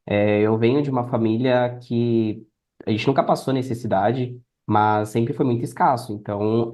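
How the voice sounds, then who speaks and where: A Brazilian man with a mid-range, mellow and relaxed voice talks as a podcast guest. He shares personal advice conversationally, repeating phrases for emphasis. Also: medium pitch. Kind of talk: casual personal storytelling and advice.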